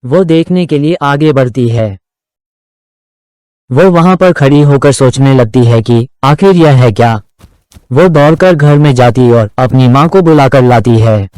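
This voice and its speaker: A man's voice: medium pitch, measured pace, darkish tone, clean tone, no echo, poor audio. A man narrating a scripted moral story for a children's cartoon.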